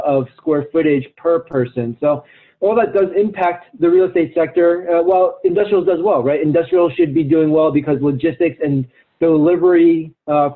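Phone-line man, higher-pitched, explaining haltingly. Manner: halting, hesitant delivery with frequent fillers and repeated words. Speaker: investment research executive. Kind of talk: explanatory commentary on a client conference call. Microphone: phone or conference call line. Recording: thin, phone-like conference call line.

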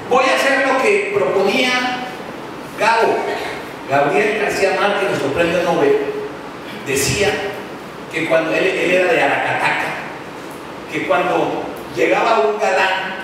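A man's voice: high voice, measured pace, pauses often. A middle-aged man with a Colombian accent, giving a university lecture into a handheld microphone, telling anecdotes with humorous asides. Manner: animated storytelling with humorous asides. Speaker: lecturer presenting his book. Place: large lecture hall, indoors. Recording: echoey hall from far off with noise.

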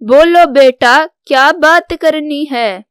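A woman voicing a proud, devoted older mother in a cartoon story, solemn and measured. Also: sits high, no echo, clean studio sound. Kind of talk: scripted Hindi cartoon story dialogue.

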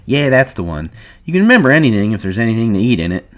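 A man's voice: medium pitch, sing-song, fast talker, a bit bright. A man voicing a big, childlike, simple-minded man, eager and pleading, with rustic American speech in an audiobook story.

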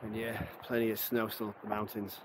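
A man's voice: on the low side, completely flat delivery, fairly quick. A man in his thirties chatting casually to a handheld camera while hiking outdoors, upbeat and easygoing. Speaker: hiking vlogger. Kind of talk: casual vlog narration to camera. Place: outdoors on a mountain trail. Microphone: action camera held at arm's length. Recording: clear outdoor noise behind.

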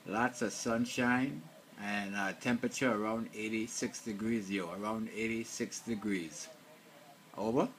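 A man's voice: on the low side, steady tone, unhurried, a bit bright. A man chatting casually as an amateur radio operator, using procedural radio phrasing and repeating key details. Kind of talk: casual ham radio contact. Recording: faint noise over radio link.

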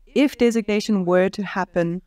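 A woman's voice: medium pitch, moderate pace, mellow, dry recording. A woman from asking a question at a conference. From South Africa. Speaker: audience questioner.

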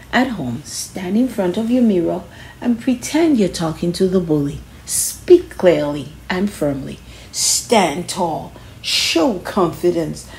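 A woman's voice: mid-range voice, mellow, slight background noise, poor audio. A woman reading a children's self-help book aloud in an instructive way, stressing key phrases.

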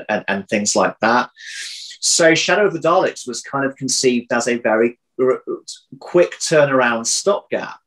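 A man in his thirties chatting casually as an interview guest over a video call, often pausing, repeating words and correcting himself as he thinks. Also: medium pitch, poor audio. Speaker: interview guest, audio drama writer. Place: indoor room at home. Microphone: video call, computer mic.

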